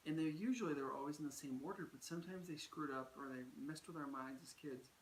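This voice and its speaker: A man's voice: fairly high pitch, fast talker. Chatty man rambling in an echoey room. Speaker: co-host. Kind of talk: casual movie review chat. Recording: echoey room with faint hiss.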